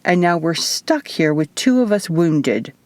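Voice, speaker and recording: Woman voicing a huge, gruff, growling man. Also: on the low side, sing-song, unhurried, a little mellow, dry recording. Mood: gruff, menacing. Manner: growling, grumbling. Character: huge, hairy, gruff man with murderous temper.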